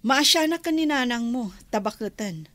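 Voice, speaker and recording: High-voiced, aggrieved churchgoing lady. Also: lively intonation, moderate pace, a bit bright, no room sound. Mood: aggrieved, firm. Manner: firm, aggrieved. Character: wronged, offended churchgoing woman pressing a complaint. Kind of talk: Filipino radio drama acting.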